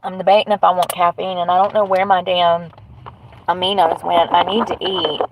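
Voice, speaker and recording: A woman's voice: lowish voice, flat delivery, normal speed, a little hiss or hum, low-quality recording. A woman with a stuffy nose, rambling bluntly and swearing casually as she hosts a live stream from inside her truck. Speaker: livestream host. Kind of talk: casual livestream chat.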